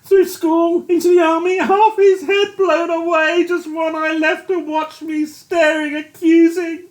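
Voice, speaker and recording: A narrator voicing a young British army captain who is shattered and sobbing, in a high, wailing, breaking voice. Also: big pitch swings, brisk, low-quality recording. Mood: distraught. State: crying.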